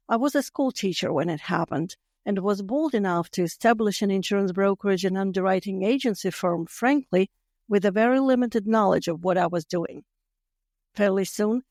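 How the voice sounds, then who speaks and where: Measured Latvian-accented woman host. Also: mid-range voice, darkish tone, dry recording, clean studio sound. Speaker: podcast host. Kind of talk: scripted solo podcast monologue.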